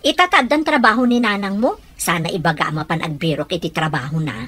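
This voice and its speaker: A woman's voice: lowish voice, animated, fairly quick, a bit bright. A woman playing a nosy shopkeeper auntie in a radio comedy drama. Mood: prying.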